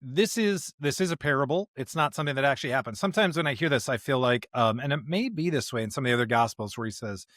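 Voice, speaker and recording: A man co-hosting a podcast in a conversational discussion of scripture, speaking emphatically in halting bursts and often checking in with a rhetorical question. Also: mid-range voice, expressive, a bit bright, no echo, studio quality. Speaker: podcast co-host.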